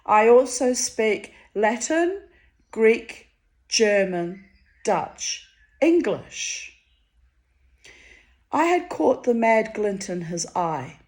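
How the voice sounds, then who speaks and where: A Hungarian-born woman reading her own diary entries aloud, wry and theatrical, her voice swinging high and low for effect. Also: very animated, very slow, pauses often. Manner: wry, theatrical, dramatic swings of pitch and loudness.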